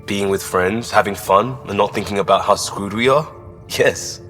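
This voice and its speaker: A man's voice: on the low side, normal speed, fairly bright tone, big-room sound, faint music behind, close to an everyday voice. An actor playing an easygoing, wry male starship crew member in a video game, with a casual, dry delivery. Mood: wry, upbeat. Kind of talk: scripted video game acting.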